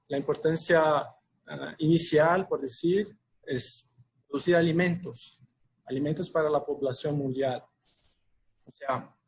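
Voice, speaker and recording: A man's voice: on the high side, slight background noise. Brazilian man giving a webinar. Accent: Brazilian Portuguese. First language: Portuguese. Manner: halting, many fillers and restarts. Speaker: webinar speaker. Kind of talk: technical presentation.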